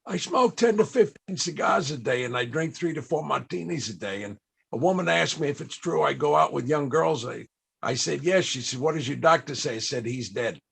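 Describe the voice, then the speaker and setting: A man hosting a casual panel interview, asking questions and sharing anecdotes in a somewhat halting way. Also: fairly high pitch, lively intonation, vocal fry, husky.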